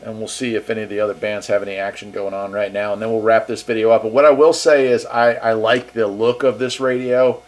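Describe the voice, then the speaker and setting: A man's voice: fairly low pitch, fairly even intonation, a little fast, few pauses. A man hosting a casual gear demo in an easygoing, upbeat way. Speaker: host reviewing radio gear.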